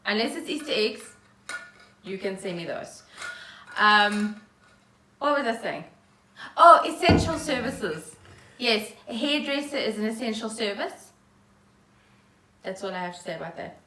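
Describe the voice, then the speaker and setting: A woman's voice: mid-range voice, expressive, a little slow, pauses often, pushed and bright. A woman chatting casually as a podcast co-host in a living room, with wry, dry asides. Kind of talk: casual chat. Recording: roomy echo.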